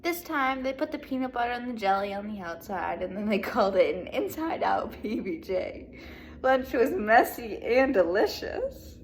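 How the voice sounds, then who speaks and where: A woman teacher reading a storybook aloud to children in an animated way, pausing to put questions to her young listeners. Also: fairly high pitch, slight background noise. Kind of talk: expressive children's read-aloud, speaking to young pupils.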